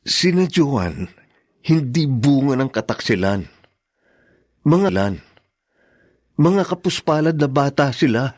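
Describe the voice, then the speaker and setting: A man's voice: mid-range voice, big pitch swings, slow pace, long pauses, darkish tone, no echo, studio quality. An actor playing an older brother in a radio drama, lecturing his sister with reproach. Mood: reproachful.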